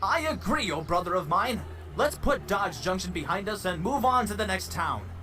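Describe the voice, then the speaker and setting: This is a man putting on a grandiose swindler pony from a cartoon. The voice is high for a male, very lively and bright, with stubborn theatrical flair. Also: moderate pace, faint music behind, heavily acted. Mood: conciliatory, grand. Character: showy, stubborn con-artist pony brother. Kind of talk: fan comic dub character acting.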